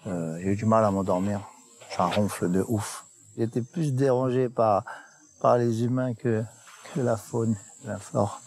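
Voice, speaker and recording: A man in a jungle outdoors, talking in slangy, excitable, joking banter as a reality show contestant. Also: on the low side, unhurried, a little hiss or hum. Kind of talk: casual banter and confessional.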